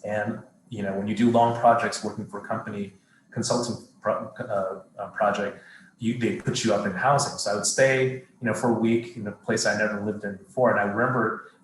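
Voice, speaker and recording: Low-voiced man in a roomy room. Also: fairly even intonation, brisk, slight background noise. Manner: thoughtful, many fillers and restarts. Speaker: TV studio executive. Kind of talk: interview answers. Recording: roomy echo.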